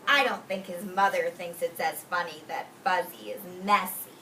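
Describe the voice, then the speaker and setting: A woman teacher reading a picture book aloud to young children, expressively and deliberately, drawing out key words and explaining simply. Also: lowish voice, steady tone, pushed and bright. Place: indoors in a classroom with young children. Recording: roomy distant mic with faint background noise.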